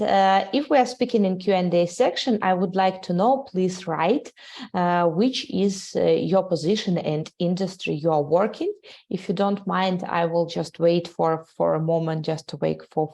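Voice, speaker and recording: Ukrainian-accented woman hosting a webinar, gravelly. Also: lowish voice, darkish tone. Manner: halting, many "uh" fillers, non-native grammar. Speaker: webinar host, sales executive. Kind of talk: live webinar presentation.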